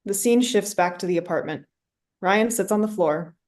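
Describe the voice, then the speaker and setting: A woman's voice: medium pitch, normal speed, a little mellow. A woman reading scripted stage directions as narrator for a play reading.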